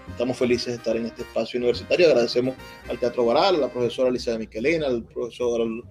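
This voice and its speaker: A man speaking off the cuff at a public ceremony, deliberately, pausing to search for his words. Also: medium pitch, darkish tone. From Venezuela. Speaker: festival organizer giving a speech. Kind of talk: extemporaneous ceremonial speech. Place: theatre stage at a public event.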